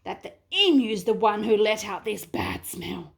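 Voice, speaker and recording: A self-important wombat lays down the law with pompous certainty, as a woman puts him on. The delivery is slow and deliberate. It is storybook voice work. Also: medium pitch, lively intonation, vocal fry. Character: bossy male wombat who likes being in charge.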